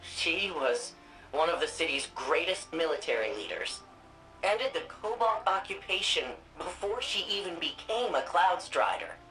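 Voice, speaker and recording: A spirited, nerdy young archivist in a video game, chatty and playful. Her voice sits slightly low but moves a lot. It has a bright, forward tone. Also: animated, a little slow, rough recording. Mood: reflective. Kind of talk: video game dialogue and narration.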